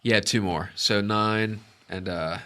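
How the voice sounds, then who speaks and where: A congested man narrating as dungeon master, halting with many fillers. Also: lowish voice, fairly even intonation, sharp tone, slight background noise. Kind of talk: narrating a tabletop game.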